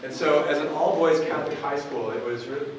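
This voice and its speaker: A man in his forties from Buffalo, New York, giving a relaxed public lecture as a professor, with frequent ums and restarts. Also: on the high side, fast, a loud crowd. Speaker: university professor. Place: campus lecture hall. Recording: echoey hall from far off with noise.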